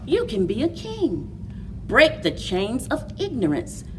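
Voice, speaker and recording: A woman hosting a children's story time, speaking in a deliberate, expressive read-aloud manner for young listeners. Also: fairly high pitch, recorded from a distance, a little hiss or hum. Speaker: library story time host.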